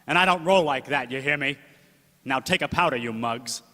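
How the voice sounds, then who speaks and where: A man's voice: medium pitch, moderate pace, background noise, lightly acted. A man playing a defiant, principled uncle who talks in gangster-era slang in a radio play. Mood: defiant, gruff. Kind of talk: old-time gangster radio play acting. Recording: echoey hall with music behind.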